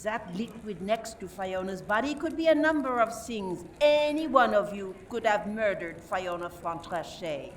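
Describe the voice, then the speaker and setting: A theatrical lady police inspector from France, acted by a woman in an amateur radio mystery. She is suspicious and accusatory, speaking with deliberate drama. She is a little far from the mic, with faint noise behind her. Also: mid-range voice, animated, close to an everyday voice. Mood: stern, dramatic. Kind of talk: amateur radio play acting.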